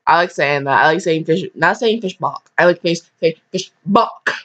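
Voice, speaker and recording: A woman's voice: lowish voice, normal speed, a bit bright. A woman casually reading a story aloud, stumbling and breaking off for chatty, jokey asides. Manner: self-interrupting, stumbling, jokey. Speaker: fan fiction reader. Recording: dull lo-fi microphone.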